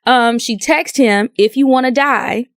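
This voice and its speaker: A woman's voice: on the high side, unhurried, dry recording, clean studio sound. A woman co-hosting a podcast, recounting a news story in gossipy casual chat. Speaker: podcast co-host.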